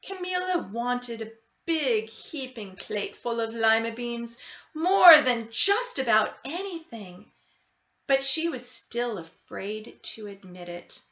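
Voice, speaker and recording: A woman narrates a children's picture book in a bright voice with very animated pitch. She reads expressively and takes her time. The sound is a little dull and lo-fi. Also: takes it slowly. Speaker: storybook reader.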